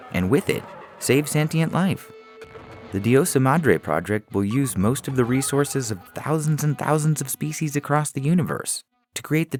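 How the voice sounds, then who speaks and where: A man's voice: mid-range voice, a little mellow, pitched above the performer's own voice, lightly acted. A man voicing a stern, exasperated, worn-down alien project leader in a science fiction story, with music underneath. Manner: impatient, insistent.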